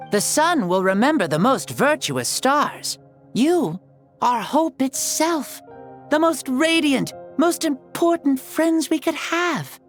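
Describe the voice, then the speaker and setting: A woman's voice: high-pitched, expressive, slow. A woman voicing a proud, battle-eager ancient warrior god in a child's body, speaking in a formal, declamatory way for a video game. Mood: grand. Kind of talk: dramatic video game voice acting. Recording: background music.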